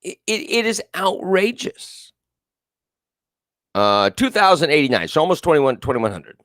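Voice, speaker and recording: A man's voice: on the high side, very animated, unhurried, fairly bright tone, dry recording, clean studio sound. A male talk show host with a big, carrying voice, giving emphatic, repetitive political commentary and reading viewers' comments aloud. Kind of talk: live political call-in commentary.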